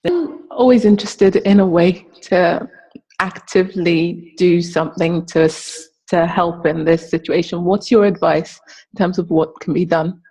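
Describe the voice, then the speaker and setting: A woman's voice: lowish voice, measured pace, warm tone, slightly rough, poor audio. A woman posing a question to a panel as an audience member.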